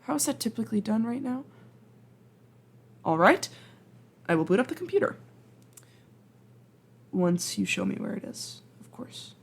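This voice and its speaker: A woman's voice: on the high side, very animated, unhurried, creaky, long pauses, breathy edge, exaggerated voice. A woman playing a flustered, apologetic time traveler from the future in an ASMR roleplay, speaking hesitantly, stammering and rambling in a self-deprecating way. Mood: earnest, bashful. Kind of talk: ASMR roleplay acting, intimate and conversational.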